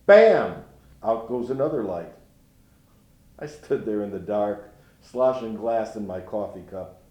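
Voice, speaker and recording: A man's voice: fairly low pitch, a little mellow, highs cut off. An actor playing a grieving older grandfather who speaks in a folksy, rueful way in a dramatic monologue. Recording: roomy echo.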